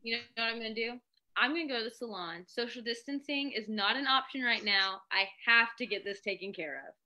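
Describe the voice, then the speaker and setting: Woman as distressed penguin, theatrical, bright forceful tone, improvised storybook character, raised pitch. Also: a little fast. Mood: dismayed. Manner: melodramatic. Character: penguin distressed over a bad hair day. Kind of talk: improvised children's story acting.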